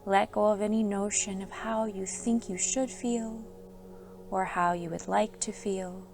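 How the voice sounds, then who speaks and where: A woman yoga instructor leading a guided sleep meditation, giving soft, soothing instructions with calm pauses. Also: medium pitch, creaky. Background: soft background music.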